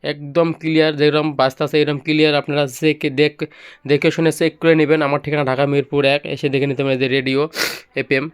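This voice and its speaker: Bangladeshi man pitching goods, clear voice. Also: flat delivery, fast talker. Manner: repetitive, insistent selling of features. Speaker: second-hand electronics seller presenting products. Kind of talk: informal product demonstration with sales pitch.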